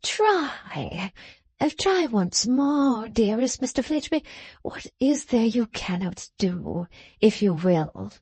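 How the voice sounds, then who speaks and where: A woman reading aloud and voicing a gushing, scheming society wife who pleads slowly and sweetly. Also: a little high, very animated, a little mellow, slightly rough, no room sound, heavily acted. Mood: pleading. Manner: slow, pleading, sugary. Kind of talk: audiobook character voice.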